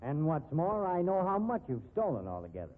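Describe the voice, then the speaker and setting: Hard-boiled male investigator, cynical, rough-edged 1950s radio delivery with emphatic swings in pitch. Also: wildly swooping pitch, a little fast. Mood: accusing, bullying. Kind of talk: 1950s radio drama acting. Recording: muffled, dull 1950s radio recording.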